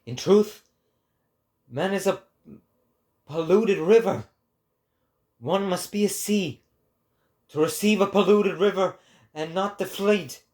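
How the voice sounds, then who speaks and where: Impassioned male prophet, acted by a man, raised pitch, very slow delivery, grandiose, declamatory, dramatic philosophical reading. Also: a little mellow. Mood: solemn fervor.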